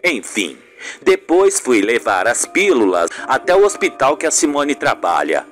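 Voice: mid-range voice, very animated, measured pace, a bit bright, soft background music, pitched below the performer's own voice. A performer voicing an anxious, remorseful man confiding in a friend in an amateur radio soap opera. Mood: troubled.

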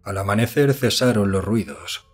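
A man narrates a scripted horror story in a fairly low voice. The tone is husky, and the delivery is solemn and literary. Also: moderate pace. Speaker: audiobook narrator.

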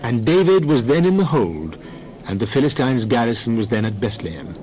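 Expressive male scripture narrator. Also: medium pitch, fairly quick, slight background noise, rough recording.

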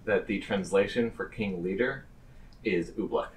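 A man's voice: low voice, a little slow, far from the mic. A man running a tabletop game as game master, narrating casually.

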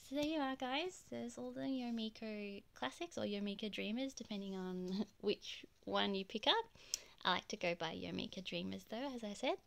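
A woman showing off her plush toy collection in a gushing, chatty way, her voice slightly croaky from being unwell, filmed on a handheld phone in her bedroom. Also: medium pitch, normal speed. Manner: gushing, chatty, soft giggles. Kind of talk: casual show-and-tell narration. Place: bedroom with plush toys on display. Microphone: handheld phone camera, off-camera voice.

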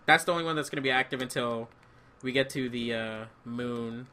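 A man with a bright, forceful voice talks through a co-op video game. He speaks casually, with a joking, rambling manner, like a player talking to a teammate. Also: mid-range voice, a little fast. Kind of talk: casual co-op gameplay chat.

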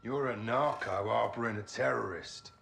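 Stern gravelly special forces man, few words, lowish, game cutscene. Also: moderate pace, a bit bright, exaggerated voice. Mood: accusing. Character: terse, stern male special forces operator. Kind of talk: cinematic video game acting.

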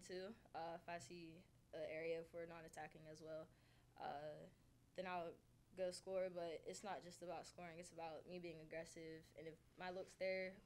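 A woman's voice: fairly low pitch, a little mellow, a little hiss or hum. A young woman, a college basketball player, answering questions at a post-game press conference. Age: young adult. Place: indoor press conference room, seated at table.

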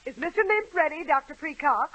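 A woman's voice: high-pitched, animated, brisk, noisy background. A woman playing a chirpy assistant who acts a browbeaten wife in a 1930s radio comedy sketch. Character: eager young nurse assistant.

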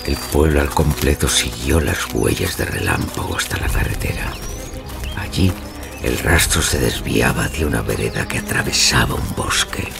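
A man narrating a dramatised mystery tale as a solemn, gravelly storyteller. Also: a bass voice, animated, moderate pace, music in the background, exaggerated voice. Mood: measured. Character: solemn storyteller of a 19th-century village mystery.

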